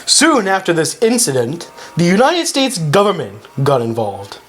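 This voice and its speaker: A man's voice: a little high, huge pitch swings, measured pace, fairly bright tone. A man giving scripted amateur narration of history as the narrator.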